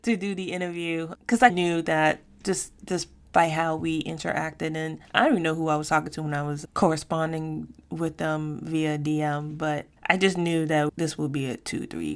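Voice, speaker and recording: A woman host in casual interview chat, her voice sitting a little low. She talks fast and chatty, often breaking into laughter. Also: fairly even intonation. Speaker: podcast host.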